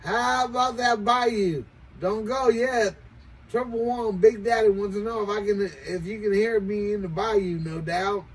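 An older American man calling out on CB radio in showy, slangy banter, repeating catchphrases, with a gravelly voice. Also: high-pitched, unhurried, a little hiss or hum, low-quality recording. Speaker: CB radio operator. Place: small cluttered indoor radio room or shed. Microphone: desk CB microphone in hand, filmed from across room.

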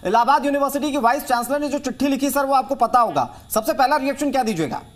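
A man's voice: extremely high pitch, quick pace. A man anchoring a live TV news debate, pressing his questions insistently.

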